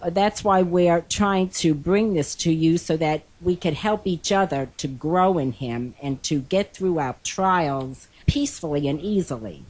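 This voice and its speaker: Hoarse older woman reading scripture gently. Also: fairly low pitch, unhurried, hardly any pauses, low-quality recording. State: hoarse from a cold. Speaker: co-host.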